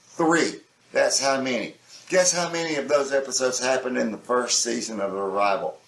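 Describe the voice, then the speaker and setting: A man's voice: mid-range voice, normal speed, gravelly, a bit bright, roomy sound, rough recording. A man delivering opinionated scripted commentary in an emphatic, sardonic manner, stretching out key words for stress. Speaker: video essayist.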